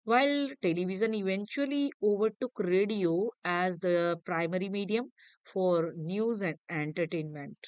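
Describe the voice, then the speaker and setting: A woman presenting an educational lecture from notes, reading in a halting manner with small stumbles over phrases. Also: lowish voice, clear, resonant tone, dry recording. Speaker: lecturer presenting a history lesson.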